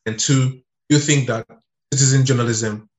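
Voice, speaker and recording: A man's voice: mid-range voice, steady tone, normal speed, echoey room. A man co-hosting an interview, asking long, hesitant questions full of fillers. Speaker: interview co-host.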